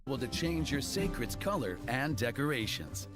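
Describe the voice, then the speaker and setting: A man's voice: mid-range voice, sing-song, moderate pace. A man presenting features in a scripted promotional narration. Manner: promotional, smoothly paced presentation. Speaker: showcase presenter. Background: music playing.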